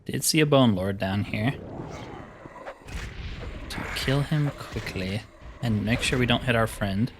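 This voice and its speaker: A man's voice: medium pitch, a little slow, pauses often, noisy background. A man giving casual, mildly exasperated commentary while playing a video game, thinking aloud as he goes. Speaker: gaming video host.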